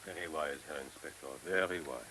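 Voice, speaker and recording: A man's voice: rumbling low, brisk, bright, forceful tone. A man playing a shrewd, courteous German-speaking figure of authority in a radio play, with formal turns of phrase. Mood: approving, guarded. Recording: hissy old radio drama recording.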